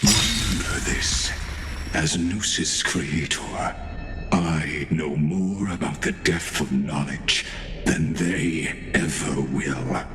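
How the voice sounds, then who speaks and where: A man voicing a cold, grandiose villain in a fantasy game, menacing, pompous and deliberate. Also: rumbling low, fairly even intonation. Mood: cold menace. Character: cold, grandiose male villain, godlike schemer. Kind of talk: dramatic fantasy game acting. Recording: loud music over the voice.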